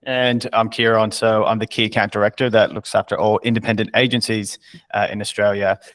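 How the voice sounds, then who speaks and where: An Irish man speaks in a low register with very little rise and fall in his voice. He introduces himself at a business webinar in a relaxed, self-deprecating way. The sound is close and dry. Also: a little fast, dry recording. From Ireland. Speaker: key account director. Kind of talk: webinar presentation.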